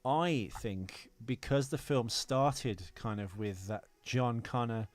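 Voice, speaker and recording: A man's voice: medium pitch, slow pace, dry recording. A man co-hosting a podcast, casually discussing films. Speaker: podcast co-host.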